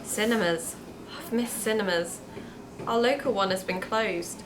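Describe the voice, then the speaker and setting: A woman's voice: medium pitch, measured pace, background noise, natural voice. A woman playing a keen young amateur podcaster in an audio drama, chatty and explanatory in manner. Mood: friendly, casual. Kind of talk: naturalistic audio drama dialogue and narration.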